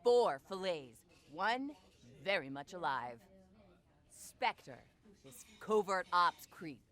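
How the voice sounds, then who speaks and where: An actress playing a tough, teasing soldier who recounts battles casually in dry, clipped military talk for a video game. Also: female voice, on the low side, animated, slow. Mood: matter-of-fact, tense. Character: tough, teasing female soldier, squadmate of the storyteller. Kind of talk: casual in-character storytelling.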